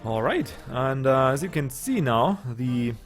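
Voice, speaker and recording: A man's voice: mid-range voice, expressive, measured pace, faint music behind. A young man, not a native English speaker, casually commenting on his strategy game as he plays, thinking aloud and joking with his units. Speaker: let's play host.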